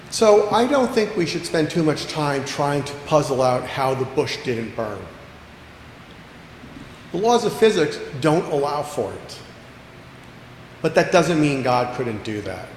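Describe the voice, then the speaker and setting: A man preaching a sermon as pastor, earnest, slowing down to stress key phrases and repeating lines for emphasis. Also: a little high. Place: church sanctuary. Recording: echoey church hall with long reverb, faint background noise.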